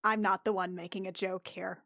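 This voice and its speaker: A woman's voice: medium pitch, level tone, a little fast, gravelly, fairly bright tone, dry recording, studio quality, voice pushed down. A woman playing a proud, defiant young princess in a tabletop game, curt and coolly challenging. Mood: cool, confrontational.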